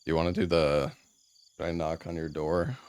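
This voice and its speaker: A man with a deep, radio-ready voice, chatting in a laid-back, hesitant way over voice chat while gaming. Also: little pitch movement, moderate pace, darkish tone, clean tone. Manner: laid-back, hesitant, trails off mid-sentence. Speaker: guest streamer in co-op game.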